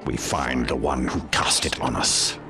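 An actor playing an ancient, solemn elf wraith in a fantasy game, with a breathy, whispery voice and grave, cryptic delivery. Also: male voice, rumbling low. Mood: grim, solemn. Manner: slow, grave, cryptic pronouncements. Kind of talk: cinematic fantasy game acting. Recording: loud music behind.

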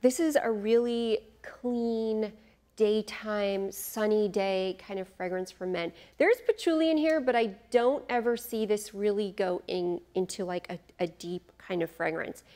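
A woman's voice: mid-range voice, measured pace, warm tone. Chatty woman reviewing perfumes to camera. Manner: chatty, enthusiastic, thinks aloud with fillers and asides. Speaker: fragrance reviewer. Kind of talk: casual product review to camera. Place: studio in front of a beach backdrop.

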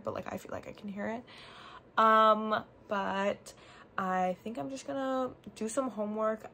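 Young woman, college vlogger, chatty filler-heavy delivery, phone held at arm's length, average pitch. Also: vocal fry, fairly bright tone, slight background noise. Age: young adult. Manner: chatty, peppered with "like". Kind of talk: casual vlog chat to camera. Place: small dorm room.

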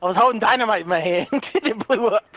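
A man chatting and laughing while playing a co-op video game, a bit hoarse from long talking, often breaking into excited shouts. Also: high-pitched, fast, no room sound. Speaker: co-op gaming guest. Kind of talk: casual gameplay chat.

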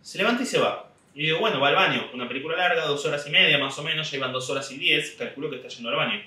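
A man's voice: on the high side, rapid-fire, sharp tone, echoey room, recorded from a distance. A young man with an Argentine Spanish accent telling a rambling comic story in a casual monologue. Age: young adult. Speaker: comedian podcast host. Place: small home room indoors. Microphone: desk mic on stand, camera at distance.

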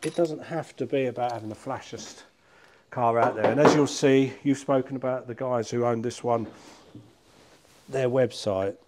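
A man's voice: medium pitch, fairly even intonation, moderate pace, background noise. A middle-aged man with a British accent chatting informally and self-deprecatingly as he works on a car in a workshop. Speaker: car collector and restorer presenting a channel. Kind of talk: casual chat while working on a car. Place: large workshop barn, indoors.

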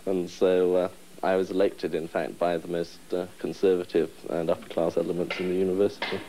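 Young man, deep dark voice, wry and halting, interview in a study. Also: little pitch movement, slight background noise, low-quality recording. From England. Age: 20s. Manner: wry, hesitant with ums. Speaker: union president interviewee. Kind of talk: interview answers. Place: study room, indoors.

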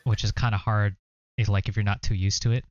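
A young man co-hosting a casual reaction chat, explaining things in a relaxed way with lots of fillers. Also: on the low side, fast, dry recording, highs cut off. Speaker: co-host.

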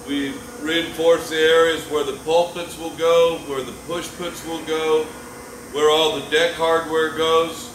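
An older man, a boat builder, giving a plain, unhurried walkthrough of a catamaran under construction, with frequent fillers. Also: a little high, sharp tone, distant mic. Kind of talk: casual walkthrough tour. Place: large boatbuilding warehouse, indoors. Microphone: camera mic, speaker often several steps away. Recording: echoey warehouse, voice from off-mic, faint hum.

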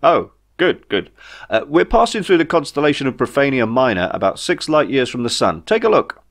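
A man's voice: mid-range voice, sing-song, brisk, pitched above the performer's own voice. A man voicing a chatty, matter-of-fact alien spaceship pilot in a comic sci-fi audiobook. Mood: breezy.

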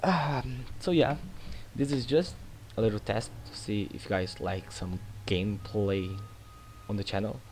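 A man's voice: on the low side, measured pace, slight background noise. A young man with a Brazilian Portuguese accent, rambling casually through commentary on his own strategy game, with many fillers and restarts. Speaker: gaming channel host. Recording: loud game music and battle sounds behind.

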